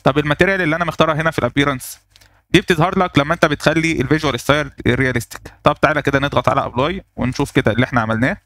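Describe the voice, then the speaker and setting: Fast-talking Egyptian man teaching software. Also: a little high, no echo. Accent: Egyptian Arabic. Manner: explains step by step, thinking aloud with fillers. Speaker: software tutorial instructor. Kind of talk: screen-recorded software tutorial.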